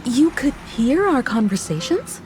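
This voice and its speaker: A woman playing a gentle, caring crew leader in a video game, sincere and reassuring. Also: high voice, big pitch swings, measured pace, faint music behind. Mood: earnest. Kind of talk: video game character voice acting.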